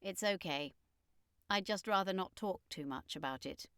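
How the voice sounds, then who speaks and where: A woman doing a male alien from Mars, solemn, guarded, regretful, deliberate, audiobook sci-fi, dry sound. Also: on the low side, fairly bright tone, clean tone, dry recording, natural voice. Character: grave, guarded male Martian, gentle and regretful.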